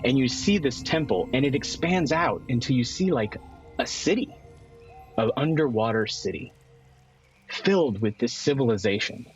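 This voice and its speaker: A man narrating a tabletop roleplaying game as game master, descriptive and pausing for dramatic effect. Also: medium pitch, animated, highs cut off. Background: music bed.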